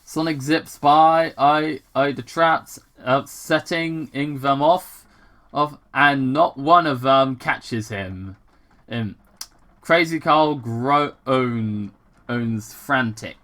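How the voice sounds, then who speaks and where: A person casually reading fan fiction aloud with commentary, halting and repeating words, with some swearing. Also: mid-range voice, a bit bright. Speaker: gaming channel host reading fan fiction.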